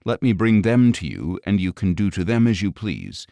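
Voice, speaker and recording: A man's voice: low voice, very animated, normal speed, dark tone, no echo, clean studio sound. A man voicing an anxious older father who earnestly implores, in an audio Bible story. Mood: pleading. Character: anxious, pleading older man, father sheltering his guests.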